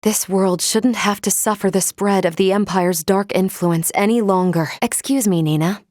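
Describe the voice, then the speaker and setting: A woman voicing a calm, logical female android in a video game, speaking in a formal, precise and measured way. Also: medium pitch, no room sound, studio quality, close to an everyday voice. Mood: calm, analytical. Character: calm, logical female android crew member, polite and analytical. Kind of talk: video game character voice acting.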